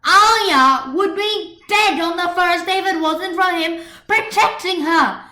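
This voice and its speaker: A woman voicing a fiery, stubborn schoolgirl in a fan fiction story, forceful and indignant as she argues. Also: very high-pitched, slow pace, voice pushed up, full character voice. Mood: furious ranting. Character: fiery, stubborn schoolgirl passionately defending her hero. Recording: echoey room on computer microphone.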